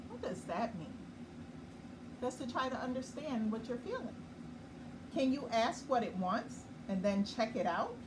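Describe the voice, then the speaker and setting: Woman reading a bedtime picture book, slow and gentle, distant mic with steady background noise. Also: on the high side. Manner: slow, gentle, explains words for children. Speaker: children's story host.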